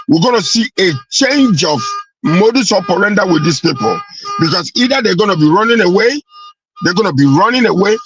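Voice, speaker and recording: A man's voice: sits high, a bit bright, rough recording. A Nigerian-accented man speaking as a panelist in a political discussion, emphatic and repeating his phrases.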